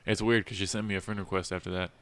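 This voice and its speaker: A man hosting a casual chat, relaxed and teasing, who laughs often. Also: low voice, racing, dry recording.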